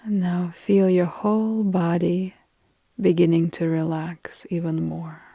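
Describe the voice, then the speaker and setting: Very slow, creaky-voiced woman guiding meditation. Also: on the low side, no echo. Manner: calm, soothing, deliberate with long pauses. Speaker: yoga nidra meditation guide. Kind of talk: guided relaxation meditation.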